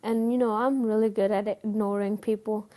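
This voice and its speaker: A woman's voice: medium pitch, steady tone, moderate pace, warm tone. Middle-aged Pakistani-accented woman, earnest testimony, hesitant, level delivery. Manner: earnest, hesitant, many fillers and restarts. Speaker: guest telling her story. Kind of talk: personal testimony.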